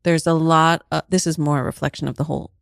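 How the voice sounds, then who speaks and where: A woman's voice: low-pitched, little pitch movement, quick pace, gravelly, no echo, clean studio sound. A woman in casual, reflective conversation as a guest, thoughtful and hesitant, often repeating her phrases. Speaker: guest learning improv.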